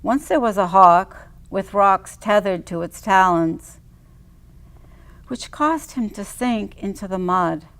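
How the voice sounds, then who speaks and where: A woman's voice: mid-range voice, expressive, takes it slowly, fairly bright tone. An older woman sharing poetry and conversation as a show's co-host. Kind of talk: poetry reading and casual chat.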